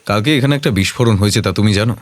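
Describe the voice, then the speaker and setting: Guy acting as a confident detective, lowish voice, measured, kindly but in charge, audio drama. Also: lightly acted. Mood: kindly, commanding. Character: calm, self-assured male detective, kindly but commanding.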